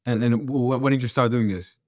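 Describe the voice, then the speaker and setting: Fast-talking young man hosting a podcast. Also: medium pitch, no room sound, studio quality. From Netherlands. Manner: loose, repeats words, says bro a lot. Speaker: podcast host. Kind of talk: casual interview chat.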